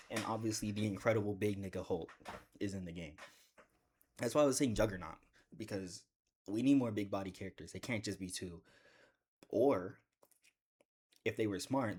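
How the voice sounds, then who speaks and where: A man with a deep voice chatting casually in a gaming vlog, rambling and joking with bursts of excitement. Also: moderate pace, pauses often, breathy edge. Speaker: gaming video creator.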